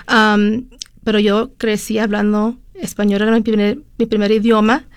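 A woman's voice: mid-range voice, level tone, moderate pace, smooth, no room sound. A middle-aged woman from California, a lawyer and interview guest, tells her story in a chatty way, with frequent fillers and switches into English. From California, USA. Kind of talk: conversational storytelling.

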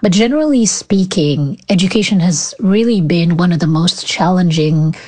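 A woman from Morocco, speaking as an expert guest and explaining policy in a measured, analytical way with frequent pauses. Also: fairly low pitch, expressive, warm tone, no room sound. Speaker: expert guest, think tank senior fellow.

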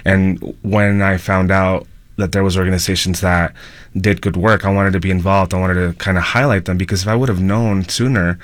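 A man with a deep voice tells a personal story as a panel guest. His delivery is halting and thoughtful with very flat intonation, recorded dry. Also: no echo.

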